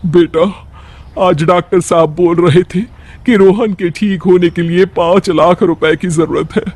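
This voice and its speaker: Man as a fretful older mother-in-law, high-pitched. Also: steady tone, measured pace, darkish tone. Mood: worried. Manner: fretful, affectionate. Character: worried, caring older village mother-in-law.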